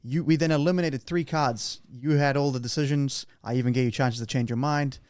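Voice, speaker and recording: A man hosts a podcast in a loose, playful way, tripping over and restarting his sentences as he talks. His voice sits at an ordinary middle pitch. The sound is dry and close to the mic. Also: fairly even intonation, a little fast, darkish tone, smooth, no echo. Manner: chatty, playful, with many restarts. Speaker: podcast host. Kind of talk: casual podcast chat.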